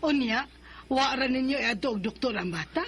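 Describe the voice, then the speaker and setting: An actress playing a blunt, scolding neighbor in a radio drama. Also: female voice, sits high, expressive, moderate pace, loud music behind the voice. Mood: worried. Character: sharp-tongued woman confronting a neighbor.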